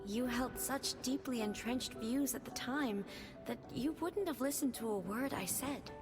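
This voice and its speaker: A woman voicing a gentle, warm-hearted former goddess queen in a game, speaking softly and tenderly. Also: a little high, big-room sound. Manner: soft, tender, measured, solemn in ritual lines. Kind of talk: game cutscene voice acting. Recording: game audio with music.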